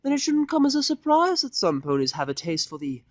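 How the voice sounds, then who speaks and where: A man voicing a refined, theatrical female pony fashionista in an audiodrama, fussy and flattering, with affected, drawn-out genteel phrasing. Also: extremely high pitch, dry recording, voice pushed up. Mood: matter-of-fact, lecturing. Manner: affected, drawn-out, genteel delivery with terms of endearment. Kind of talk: audiodrama fan fiction character voice.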